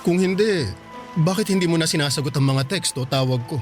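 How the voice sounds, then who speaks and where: Radio drama actor for young Filipino suitor: high-pitched, lovesick, insistent, music behind. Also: male voice, fairly quick, close to an everyday voice. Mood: wounded, pleading. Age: young adult. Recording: loud music under the voice.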